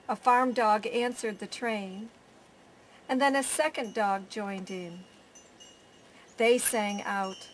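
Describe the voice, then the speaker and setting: A woman storyteller reading a children's picture book aloud, with measured phrasing, pauses and drawn-out words. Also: on the high side. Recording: faint background noise.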